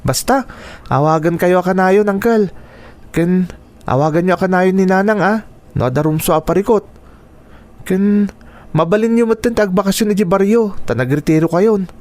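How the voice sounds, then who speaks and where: Male actor as young nephew in a radio drama, slightly high voice, earnest and caring, respectful to his elder. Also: normal speed, long pauses, darkish tone, lightly acted. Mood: earnest, concerned.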